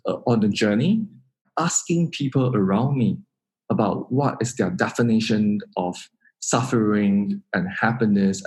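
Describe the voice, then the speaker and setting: A man from Singapore, hosting a solo podcast introduction in an earnest, measured way. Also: fairly low pitch, darkish tone. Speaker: podcast host.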